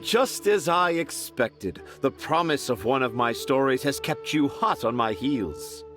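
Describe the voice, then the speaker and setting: A man's voice: medium pitch, lively intonation, unhurried, music in the background, natural voice. A man acting a boastful, theatrical storyteller in a video game, with a pompous, grandiose showman's delivery. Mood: smug, dramatic.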